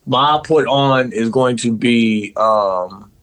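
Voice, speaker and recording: A man co-hosting a casual podcast, telling a story in relaxed, slangy talk. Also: medium pitch, slow pace, smooth. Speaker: podcast co-host. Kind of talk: casual chat.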